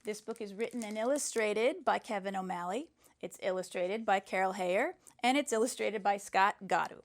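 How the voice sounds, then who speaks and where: A woman's voice: mid-range voice, moderate pace. A woman, a school librarian, introducing a picture book read-aloud for children.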